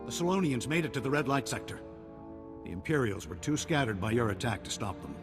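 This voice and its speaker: A serious military commander in a video game, with a mid-pitched, animated voice. He briefs with clipped authority while music plays behind him. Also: fast talker. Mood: serious, commanding. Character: commanding male military officer giving mission briefings, serious. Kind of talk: scripted video game acting. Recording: game cutscene with music underneath.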